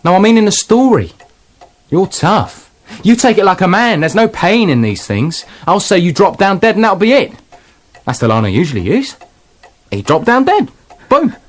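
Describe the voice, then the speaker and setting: Flippant writer, higher-pitched, wildly swinging intonation, bossy, dismissive, radio drama. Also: male voice, sing-song, normal speed. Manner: breezy, dismissive, colloquial. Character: flippant, bossy male storybook writer arguing with characters. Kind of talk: radio play acting.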